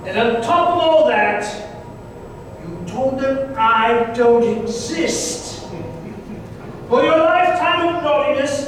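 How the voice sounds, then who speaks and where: A man's voice: very high-pitched, fairly bright tone, exaggerated voice. A man playing a stern but jolly old Santa in a rhyming old-time radio play, with a theatrical, commanding delivery. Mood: stern, accusing. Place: live stage performance in a hall. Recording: very echoey hall, distant mic, faint noise.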